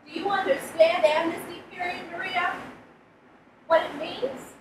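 A woman reading a story aloud, voicing a gentle, earnest woman translator who speaks carefully to a child. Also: very high-pitched, steady tone, a little slow. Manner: careful, explanatory. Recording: roomy, distant mic, background noise.

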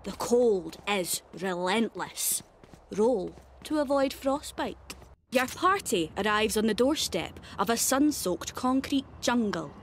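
A woman's voice: fairly high pitch, expressive, takes it slowly, slight background noise. A woman with a Scottish accent voicing a cheeky, playful, irreverent young immortal fighter for a video game. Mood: dramatic, narrating. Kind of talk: video game character voice lines.

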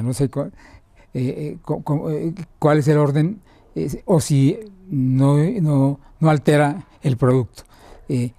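An older man with a very dark, deep-toned voice asks questions in a friendly manner. He stalls often on fillers and restarts his sentences. The sound is dry, recorded from a camera set back in a bright indoor room. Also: no echo. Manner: hesitant, lots of fillers, friendly. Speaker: interviewer for a birding club. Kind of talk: casual conversational interview.

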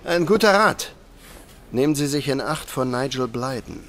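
A man's voice: mid-range voice, lively intonation, moderate pace, fairly bright tone, a little hiss or hum. An actor playing a chatty but wary male janitor in a German audio drama, with a hesitant, folksy manner. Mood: warning, wary.

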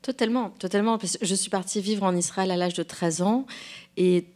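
A woman in her forties, a guest author, talking thoughtfully at a lectern microphone in a lecture hall, pausing often with fillers and restarts. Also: medium pitch, fairly even intonation, a little mellow. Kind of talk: unscripted public conversation.

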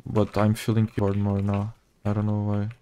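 Mellow, flat Portuguese-accented man. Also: lowish voice, moderate pace, clear, resonant tone. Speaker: gaming video creator. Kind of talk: casual gameplay commentary.

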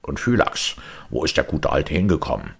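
Casting: man playing sly thieving marten for storybook, wheedling, chatty, lively swooping pitch. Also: normal speed, vocal fry, slightly rough, heavily acted. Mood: chummy, inquisitive. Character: smooth-talking thieving male marten, sly and chummy.

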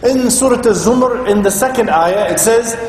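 A man preaches with an earnest, explaining manner and a fairly high voice. His words ring through a very echoey hall with a long reverb tail. A faint room hum sits behind him. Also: moderate pace. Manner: earnest, explanatory, chants Quranic Arabic. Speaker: imam giving a Friday sermon. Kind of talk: sermon. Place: rented school hall used as a mosque.

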